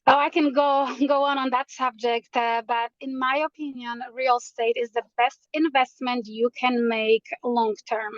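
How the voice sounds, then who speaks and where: A woman's voice: a little high, little pitch movement, moderate pace, hardly any pauses, dry recording, muffled recording. A woman from Poland, a realtor earnestly explaining her background and the market as a guest in an interview. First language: Polish. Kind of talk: interview answers.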